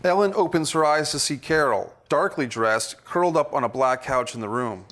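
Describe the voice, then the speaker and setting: A man leading a table read as director, giving casual instructions and reading stage directions in a halting way. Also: on the high side, fairly bright tone.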